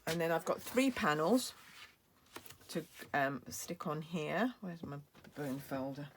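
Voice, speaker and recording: A British woman demonstrating a craft project, chatty and explaining each step with fillers, her voice going hoarse. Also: deep voice, animated, takes it slowly, noisy background. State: losing her voice, coughing. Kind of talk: crafting tutorial.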